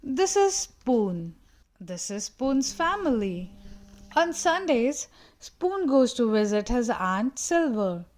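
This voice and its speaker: A woman reading a children's picture book aloud in a gentle storytelling manner. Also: big pitch swings. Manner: gentle, slow, changes her pitch for the characters' lines. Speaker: storybook reader.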